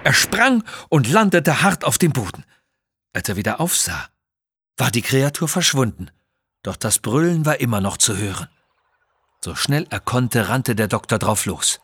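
Rauer Erzähler eines Kinderabenteuers. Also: male voice, medium pitch, sing-song, moderate pace, fairly bright tone, husky, no room sound, clean studio sound. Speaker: narrator. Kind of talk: scripted children's adventure story narration.

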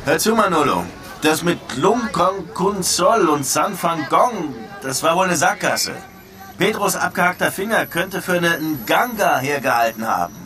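An actor playing a determined police detective in a radio drama, talking assertively and matter-of-factly to a colleague. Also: male voice, a little high, animated, unhurried. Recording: distant-sounding voice, music behind.

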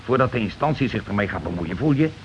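An actor playing a domineering, self-justifying working-class husband in a radio play, talking in an insistent, colloquial, repetitive way. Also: male voice, lowish voice, expressive, fast, close to an everyday voice. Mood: scheming, persuasive. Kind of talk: Dutch radio play acting. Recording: dull, noisy old radio recording.

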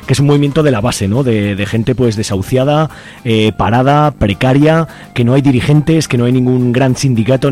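A man's voice: medium pitch, darkish tone. A man with an accent from Spain hosting a radio interview in a conversational, discursive way with frequent tag questions. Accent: Spanish from Spain.

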